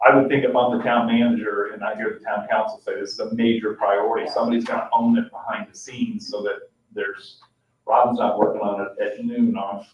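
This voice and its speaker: An American man, a council member speaking informally at a public meeting, halting as he searches for words. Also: on the low side, a little hiss or hum. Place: indoor public meeting room. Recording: roomy, distant, muffled meeting-room mic.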